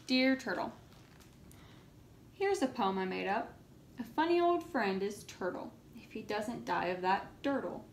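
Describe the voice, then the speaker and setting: A woman reading as an earnest, indignant young schoolchild in a storybook, with expressive emphasis. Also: mid-range voice, measured pace, long pauses. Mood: cheerful, warming up. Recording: echoey room, faint background noise.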